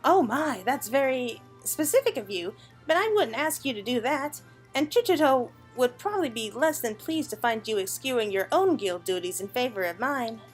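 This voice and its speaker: A woman's voice: a little high, animated, moderate pace, soft background music, lightly acted. A woman voicing a gracious, cheerful guild mistress in a video game, polite and bubbly. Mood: politely amused.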